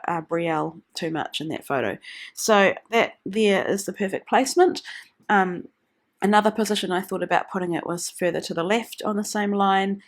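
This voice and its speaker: A woman getting over the flu, sounding nasal, narrating a craft video in a chatty, meandering way with many pauses. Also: medium pitch. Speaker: scrapbooking vlogger.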